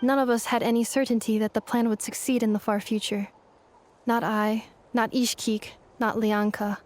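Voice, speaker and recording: Woman as a composed, high-voiced robot girl. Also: little pitch movement, a little mellow, natural voice. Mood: earnest, resolute. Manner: precise, measured, formal. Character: composed, formal female robot, sincere. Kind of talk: video game voice acting.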